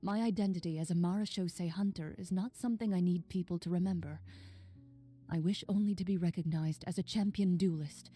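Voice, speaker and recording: A stoic female duelist with a low, husky voice. She speaks formally and with measured reserve, as in a video game, with faint music behind. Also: steady tone, mellow. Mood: firm. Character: Clorinde, composed stoic young female champion duelist and hunter.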